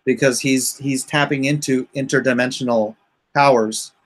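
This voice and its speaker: Man, middle-pitched voice, casual chat, halting delivery, searching for words, creator explaining his work. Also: steady tone. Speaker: comic book creator explaining his project.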